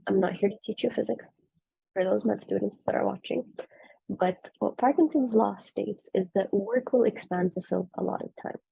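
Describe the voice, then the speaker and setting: A young woman in her twenties talks through a presentation in a casual, frank way, poking fun at herself. Her voice is deep in vocal fry and mellow, a little husky from the tail end of a flu. The recording is dry and dull. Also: normal speed, dry recording. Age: 20s. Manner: conversational, frank, self-deprecating. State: recovering from flu, voice slightly off. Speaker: medical student presenting a talk.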